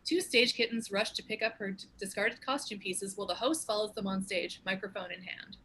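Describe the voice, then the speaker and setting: A woman with a husky voice reading scripted stage directions as the narrator of a table read. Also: medium pitch, fairly quick, sharp tone, a little hiss or hum, rough recording. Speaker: narrator and show co-creator.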